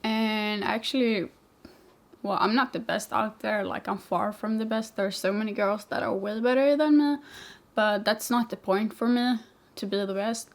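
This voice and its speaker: A woman's voice: medium pitch, moderate pace. A young woman from Sweden with a mixed Swedish-English accent, answering viewer questions in a rambling, chatty vlog. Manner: chatty, rambling, many "like" fillers, laughs easily. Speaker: vlogger, motorcycle rider. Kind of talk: casual Q&A chat.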